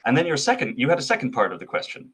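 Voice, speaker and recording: A man's voice: mid-range voice, wildly swooping pitch, racing, fairly bright tone, husky. A young man from Switzerland, answering interview questions as a guest in an articulate, thoughtful way with some fillers and restarts. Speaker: associate artist manager, guest.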